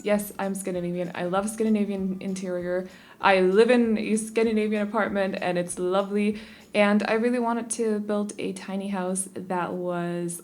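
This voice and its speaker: A woman's voice: mid-range voice, darkish tone. A young Danish woman chatting casually and rambling a little as she talks over a game speed build, often restarting her sentences. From Denmark. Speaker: YouTube creator.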